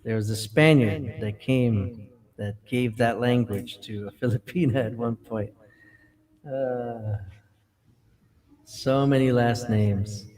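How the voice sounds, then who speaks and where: A man's voice: mid-range voice, steady tone, takes it slowly, pauses often, darkish tone. A man co-hosting a livestream, chatting casually, laughing often and dropping in Spanish and Bisaya words. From United States. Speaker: livestream co-host.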